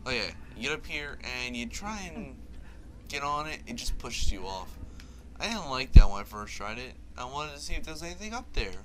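A man's voice: medium pitch, big pitch swings, normal speed, background noise. A man giving casual, wry gameplay commentary. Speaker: gaming video host. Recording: game music behind. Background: video game music and sounds.

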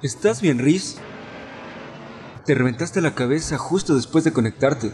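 A man's voice: on the high side, animated, measured pace, a little mellow, a little hiss or hum. A man with a Latin American accent playing a nerdy, anxious, loyal sidekick in a fan dub of an adventure game. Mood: concerned, wry.

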